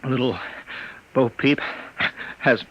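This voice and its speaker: A man's voice: mid-range voice, steady tone, slow, husky, highs cut off. An actor playing a nervous male crook who stammers and frets in a 1950s radio drama. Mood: scared. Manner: stammering, jumpy.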